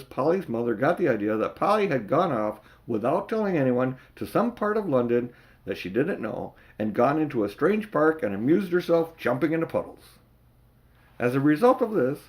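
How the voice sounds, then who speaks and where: An older man narrating a children's story as an amateur audiobook reader, with dramatic emphasis and drawn-out words. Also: medium pitch.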